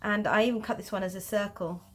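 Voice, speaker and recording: A woman's voice: mid-range voice, fairly even intonation, fast talker. A woman with a Pakistani English accent, patiently explaining geometric drawing in a tutorial. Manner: patient, explanatory, with light laughs. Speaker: art teacher.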